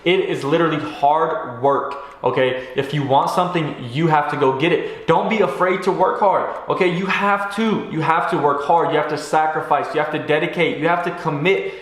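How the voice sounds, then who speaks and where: Energetic young man in an echoey room. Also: fairly high pitch, moderate pace, hardly any pauses. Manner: energetic, preachy, repeats himself for emphasis. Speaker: vlogger. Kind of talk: motivational vlog talk.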